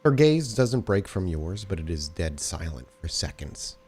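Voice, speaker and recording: Low-voiced man narrating as game master. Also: very animated, measured pace, a little mellow, slightly rough. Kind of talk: improvised narration of a tabletop game.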